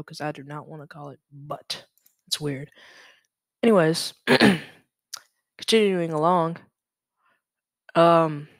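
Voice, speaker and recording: A young man talks through a software tutorial at a slow pace. His delivery is informal and halting, as he thinks aloud, and the sound is dry and close, with no room echo. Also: on the high side. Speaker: tutorial presenter. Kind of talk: casual screen-recorded software tutorial.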